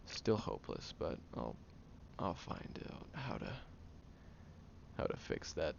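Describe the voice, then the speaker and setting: A man's voice: a bass voice, dull audio, a little hiss or hum, full character voice. A man playing a prickly, defensive young man who is sarcastic but secretly caring, in an audio roleplay spoken to the listener. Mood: teasing, awkward. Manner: gruff, sarcastic, flustered tsundere bickering.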